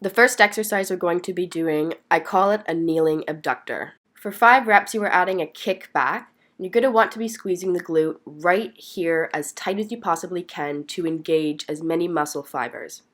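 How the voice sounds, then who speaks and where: A woman's voice: lowish voice, moderate pace, few pauses, a bit bright. A woman with a slightly stuffy, congested voice from being sick, narrating exercise instructions as a fitness vlogger in an encouraging, explanatory way. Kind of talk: instructional workout voice-over.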